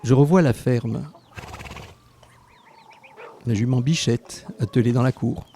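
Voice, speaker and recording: A man playing an elderly, tender former resistance fighter who narrates his written memories in a slow, wistful, literary way. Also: mid-range voice, warm tone, a little hiss or hum.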